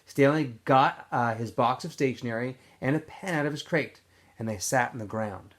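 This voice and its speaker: A man, a teacher, reading a novel aloud in a relaxed way with small slips and fillers. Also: mid-range voice, moderate pace.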